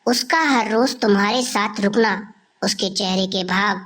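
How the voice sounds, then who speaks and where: A woman's voice: medium pitch, measured pace, fairly bright tone, natural voice. A woman playing a suspicious, jealous schoolteacher with an accusing manner in scripted cartoon dialogue.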